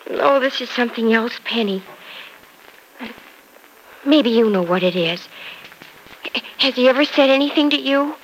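Actress doing a young wife, anxious, pleading, tearful, fairly high voice, old radio soap. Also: female voice, a little slow, long pauses, slight background noise, lightly acted, poor audio. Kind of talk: 1950s radio soap acting.

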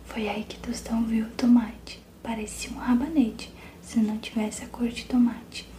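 A woman reading a children's story aloud in a soft, steady whisper. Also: fairly high pitch, moderate pace, reverberant, a little hiss or hum.

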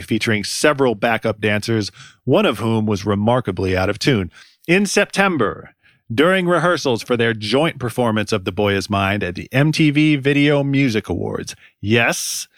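A man hosting a music podcast, reading scripted commentary in a dry, wry, deadpan way. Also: fairly low pitch, no room sound. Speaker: podcast host.

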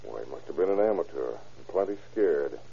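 An actor playing a shrewd, terse police investigator in an old-time radio crime drama, reasoning matter-of-factly. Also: male voice, extremely low pitch, lively intonation, brisk, creaky, dark tone, breathy edge, heavily acted. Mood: keen, deducing. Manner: matter-of-fact, terse, deductive. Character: shrewd, hard-boiled male police investigator. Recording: muffled old radio recording.

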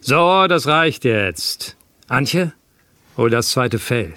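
A man playing a menacing, jealous crook in a comic radio play, sneering out drawn-out threats and cutesy pet names. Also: medium pitch, lively intonation, pushed and bright, dry recording, full character voice. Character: menacing, jealous male crook with a carpet knife.

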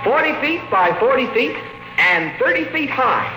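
A man's voice: very high voice, big pitch swings, moderate pace, over loud music. An actor playing a slick, eager American salesman with polished, emphatic patter in a classic radio drama. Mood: smooth, boastful. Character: slick, eager salesman showing off an automated nursery. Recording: old radio recording, echoey, faint noise.

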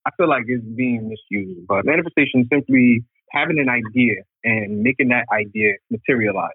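A man calling in as a guest, calmly explaining spiritual ideas as a teacher of martial arts and metaphysics. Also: mid-range voice, fairly quick, slightly rough, no room sound. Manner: calm, steady, explanatory. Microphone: phone call. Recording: tinny phone line.

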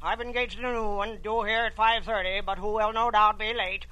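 A man's voice: high voice, flat delivery, moderate pace, sharp tone, dull audio. An actor playing a sickly, domineering old rich man in 1940s radio drama, brusque, formal and scornful. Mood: scornful, irritable.

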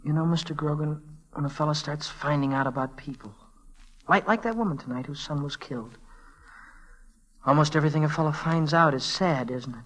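An actor playing a naive, earnest teenage messenger boy with an American accent and halting speech, in old-time radio drama style. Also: fairly high pitch, a bit bright, low-quality recording. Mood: troubled, wistful.

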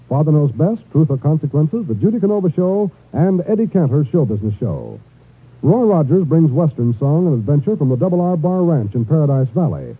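A man's voice: on the high side, breathy edge. An American man announcing in scripted 1950s network radio style. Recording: muffled vintage radio, music behind.

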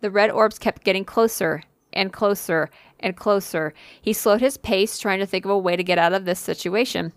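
A woman's voice: mid-range voice, normal speed, hardly any pauses, no echo. A woman casually reading a spooky listener story aloud on a podcast, with fillers and asides. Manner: reads with occasional fillers and asides.

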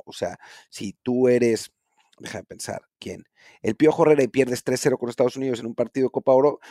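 A man's voice: on the low side, a little mellow, no echo, studio quality. A man with a Mexican accent co-hosting a sports podcast, talking casually and thinking aloud with frequent tag questions. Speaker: podcast co-host.

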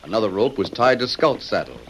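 A man's voice: lowish voice, very animated, a little fast, fairly bright tone, slight background noise, poor audio. A man giving dramatic, formal scripted narration as the announcer of an old-time radio western. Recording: old radio broadcast recording. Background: music.

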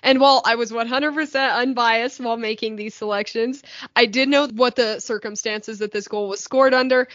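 Female podcast analyst, higher voice, enthusiastic, dry muffled audio. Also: fairly even intonation, normal speed, a bit bright, dry recording. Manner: earnest, enthusiastic praise of plays. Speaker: podcast co-host, hockey analyst. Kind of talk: casual podcast discussion.

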